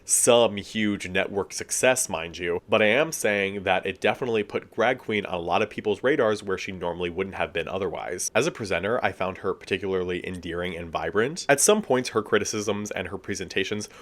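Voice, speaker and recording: A man talks through a review in a chatty, expressive way. He fills his talk with casual asides and filler words. His voice sits fairly low. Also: fairly quick, few pauses. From United States. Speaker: video reviewer. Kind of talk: casual commentary.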